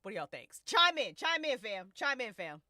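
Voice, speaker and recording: A woman hosts a relaxed chat about a story she wrote. Her voice is bright and very animated in pitch, recorded dry and close. She talks in expressive slang and swears freely. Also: moderate pace, no room sound. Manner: prone to laughing as they talk. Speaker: host and writer of a fiction podcast. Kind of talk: casual discussion of an audio drama.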